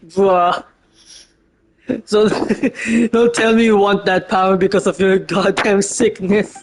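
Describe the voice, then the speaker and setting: A man's voice: high voice, level tone, takes it slowly, pauses often, low-quality recording. A man giving casual, slangy, exclamatory reaction commentary as he plays a game.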